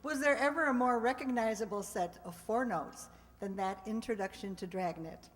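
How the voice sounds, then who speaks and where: Higher-voiced woman hosting in a hall. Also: normal speed. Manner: chatty, addressing the audience. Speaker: host introducing a live radio play. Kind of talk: spoken introduction to a live audience. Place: live stage in a hall with audience. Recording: reverberant hall.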